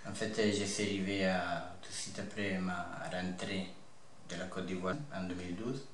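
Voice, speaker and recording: Young male interviewee, Italian accent, low register, earnest halting testimony, slight noise behind. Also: flat delivery, a bit bright. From north-east Italy (Friuli). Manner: earnest, halting phrasing with repeated words. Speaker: interview guest, seminarian about to be ordained priest. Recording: echoey room with faint background noise.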